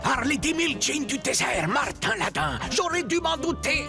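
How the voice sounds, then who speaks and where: A man's voice: extremely high pitch, expressive, normal speed, gravelly, exaggerated voice. A man voicing a scheming, villainous sorcerer in a French cartoon, menacing and melodramatic as if casting spells. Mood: indignant, conjuring. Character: scheming villainous sorcerer hunting his stolen magic lamp. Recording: music behind the voice. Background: music.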